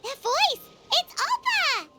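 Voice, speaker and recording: A woman voicing a tiny, childlike fairy companion in a video game, curious and excitable, with a squeaky voice. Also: slow pace, full character voice. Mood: surprised. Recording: loud music under the voice.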